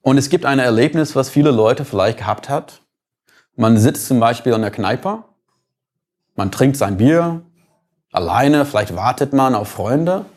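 A man with an American accent lectures in an ordinary mid-range voice. He talks thoughtfully and pauses now and then to find his words. He works through his points with everyday examples. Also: medium pitch, expressive, darkish tone. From United States. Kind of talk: explanatory lecture.

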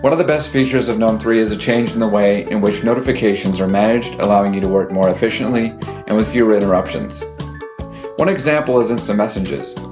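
A man reads a scripted tutorial voice-over in an even, steady way. Guitar music plays clearly behind him. The sound is roomy, like a video played back in a hall. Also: fairly low pitch, fast talker. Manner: even, read-aloud delivery.

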